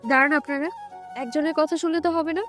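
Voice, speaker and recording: Woman acting a high-voiced, firm young woman. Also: steady tone, fast, a bit bright, soft background music.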